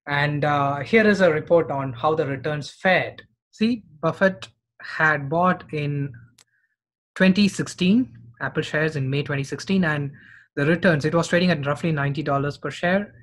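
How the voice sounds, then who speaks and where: A man's voice: on the high side, a bit bright. A middle-aged man giving informal investment commentary as a finance vlogger.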